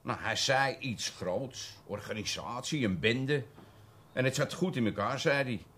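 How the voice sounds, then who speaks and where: An actor playing a cagey, boastful small-time ex-burglar in a Dutch radio drama, evasive, colloquial and grudgingly deferential. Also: male voice, mid-range voice, measured pace, a bit bright, breathy edge. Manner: evasive, colloquial, hesitant, self-justifying.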